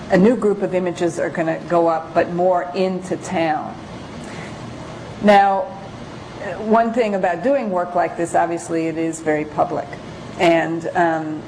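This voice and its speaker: Woman, low voice, presenting as a photographer-lecturer; hesitant, pause-filled, informal delivery over noticeable background noise. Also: darkish tone, poor audio. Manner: halting, hesitant, pausing often. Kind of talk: informal slide lecture with read-out excerpts.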